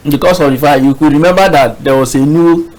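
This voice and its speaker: A man's voice: on the high side, fairly quick, darkish tone, poor audio. A man from southeastern Nigeria with a Nigerian accent, speaking insistently as a studio guest in a political discussion and often repeating himself.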